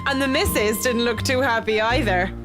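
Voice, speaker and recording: Actress as high-voiced, brash drunk young woman over music. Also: normal speed, close to an everyday voice. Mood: tipsy, indignant. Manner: slangy, swaggering, tipsy. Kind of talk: visual novel game voice acting. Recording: loud music behind the voice.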